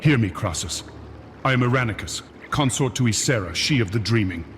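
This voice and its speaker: A man's voice: mid-range voice, expressive, fairly quick, slight background noise, close to an everyday voice. A man voicing a solemn, troubled dragon consort in a fantasy game cutscene, grave and formal, with music behind. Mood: grave, urgent.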